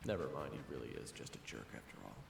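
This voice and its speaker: A man's voice: very deep voice, very animated, racing, gravelly. A man reading scripted second-person story narration for an audio roleplay. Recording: roomy hall reverb, music underneath. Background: background music.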